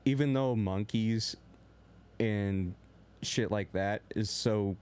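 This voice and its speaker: A young man co-hosting a sports podcast in casual chat. He talks a little loud and rambles, with lots of filler words. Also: lowish voice, slow, clean tone. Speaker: podcast co-host.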